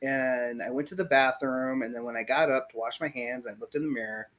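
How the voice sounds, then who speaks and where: A man in his forties, born in Puerto Rico and raised in the US South, recalls his life in a casual video-call interview, pausing often and thinking aloud. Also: mid-range voice, steady tone, a bit bright. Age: 40s. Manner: slow, reflective, pauses often with "um" and "like". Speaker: interview guest. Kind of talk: casual oral history interview.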